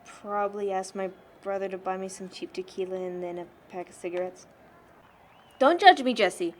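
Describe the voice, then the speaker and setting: A woman's voice: medium pitch, normal speed, a little hiss or hum, natural voice. A young woman playing a blunt, sardonic teenage churchgoing girl in an amateur audio drama, frank and slangy. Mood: wry.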